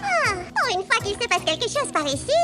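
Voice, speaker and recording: A very high-pitched, mocking puppet from a children's cartoon. The voice swoops widely and stretches words theatrically to taunt. Loud children's music plays behind it. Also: female voice, huge pitch swings, exaggerated voice. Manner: taunting, theatrical, drawn-out delivery. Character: cheeky, mocking ventriloquist's puppet putting on a show. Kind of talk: French children's cartoon voice acting.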